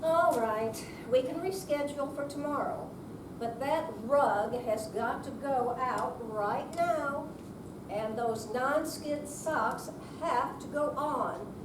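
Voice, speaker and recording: A woman in an amateur stage reading, playing a brisk, practical visiting therapist. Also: high-pitched. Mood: firm. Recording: roomy, distant, noisy live room recording.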